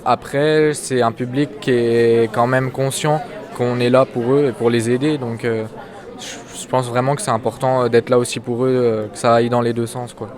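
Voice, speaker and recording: A man's voice: medium pitch, flat delivery, normal speed, darkish tone. An eighteen-year-old man, a student, answering interview questions in a casual, spontaneous way. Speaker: social work student being interviewed. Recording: outdoors amid busy crowd chatter. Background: crowd chatter outdoors.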